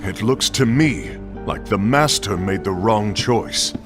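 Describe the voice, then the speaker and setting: A man's voice: fairly low pitch, expressive, takes it slowly. An actor playing a brash, hot-tempered male warrior leader who talks bluntly and scornfully. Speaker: voice actor in a game cutscene. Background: game music.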